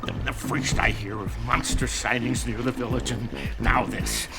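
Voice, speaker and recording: A man's voice: medium pitch, level tone, moderate pace, close to an everyday voice. A man playing a pompous, flustered village mayor in a video game, stammering and blustering over music. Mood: worried, flustered. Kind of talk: cartoonish video game acting.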